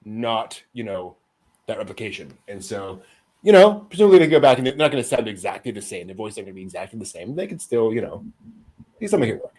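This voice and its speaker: Low-pitched man hosting a casual chat. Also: very animated, fast, a little mellow. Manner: chuckles often. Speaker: host of an anime news show.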